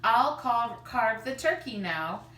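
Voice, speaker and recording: An emphatic, fretful family dad as a woman reads him from a storybook. The voice is bright and dramatic, with roomy echo around it. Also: a little high, lively intonation, takes it slowly, pitched above the performer's own voice. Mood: alarmed. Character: fretful family father, mister of the house. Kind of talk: children's storybook read-aloud. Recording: roomy, distant mic, faint noise.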